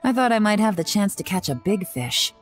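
A woman voicing a shrewd, composed young female intelligence agent in a video game, speaking in a measured, confident way. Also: medium pitch, big pitch swings, natural voice. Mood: composed, sly. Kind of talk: video game dialogue acting. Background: game music.

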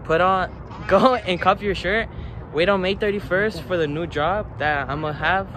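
A man's voice: high voice, moderate pace, pushed and bright, slight background noise. A young man in his late teens filming a casual vlog on his phone, joking with friends and saying bro a lot, mixing in some Spanish words. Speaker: vlogger. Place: house, car and restaurant. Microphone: handheld phone at arm's length.

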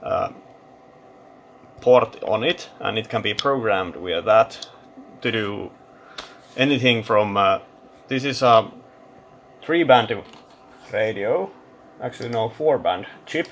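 A man giving a casual technical demonstration, thinking aloud in a halting, matter-of-fact way. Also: on the low side, a bit bright, a little hiss or hum. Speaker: electronics hobbyist presenter. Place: indoor electronics workbench.

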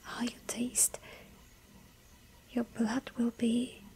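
A woman voicing a lonely yandere vampire girl in an ASMR roleplay, whispering softly and pleading. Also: fairly high pitch, flat delivery, very slow, slight background noise, poor audio. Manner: breathy soft whisper, pleading.

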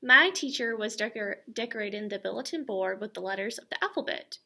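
A young woman teacher reading a children's book aloud to her class, expressive and animated, recorded on a laptop webcam in a classroom. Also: medium pitch, a little fast, vocal fry. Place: school classroom, indoors.

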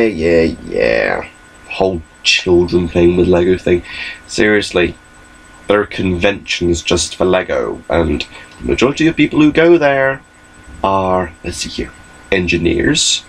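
A young man with a very low, gravelly voice rambles through a casual update from his bedroom. His delivery is lively and full of swings in pitch. A laptop mic catches a faint hum behind him. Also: poor audio. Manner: rambling, chatty, gestures a lot. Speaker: vlogger. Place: small bedroom, indoors. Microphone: laptop webcam mic.

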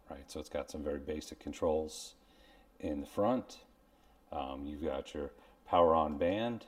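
A man's voice: a bass voice, a little mellow, distant mic. A man in his forties hosting a casual product review to camera, explaining things in a plain-spoken way. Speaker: host. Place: indoors, small room at home. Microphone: clip-on lavalier on shirt.

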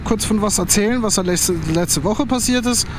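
A man's voice: high voice, normal speed. A man with a German accent tells a story in a casual, rambling way while riding a motorcycle, with lots of fillers and restarts, recorded through a helmet mic. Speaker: motovlogger. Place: on a motorcycle, outdoors on roads. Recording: outdoor traffic and wind noise. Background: road and vehicle noise.

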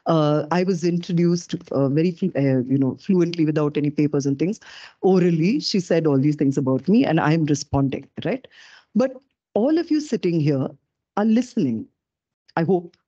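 A middle-aged woman with a low-pitched voice gives an animated, self-deprecating public lecture into a podium microphone. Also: moderate pace, slightly rough, dry recording, muffled recording. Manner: animated, self-deprecating, gestures while talking. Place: dark auditorium stage.

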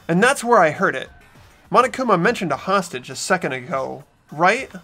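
A man's voice: high-pitched, big pitch swings, moderate pace, bright, forceful tone, faint music behind, exaggerated voice. A man voicing an earnest, trusting teenage boy, the protagonist of a visual novel game, speaking insistently.

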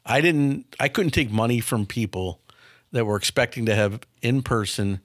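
A man's voice: medium pitch, normal speed, dry recording. A man in his fifties, a company founder, telling candid business stories in a studio interview, often repeating words as he starts a thought. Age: 50s. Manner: frank, anecdotal, repeats words when starting. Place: dark studio set. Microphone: studio boom mic.

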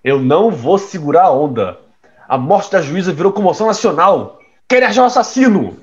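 Man acting a defiant, animated young playboy. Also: high voice, brisk, lo-fi sound. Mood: agitated. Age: young adult. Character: defiant, reckless young rich playboy son. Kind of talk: audio drama acting.

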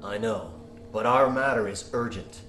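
A man's voice: fairly low pitch, measured pace, a bit bright, soft background music. A man playing an earnest, determined mission leader in an audio drama, speaking seriously and directly. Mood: urgent, firm.

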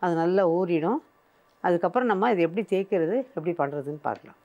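A woman's voice: fairly low pitch, lively intonation, brisk, highs cut off. An older woman presenting a cooking show, patiently explaining each step as she works in a studio kitchen.

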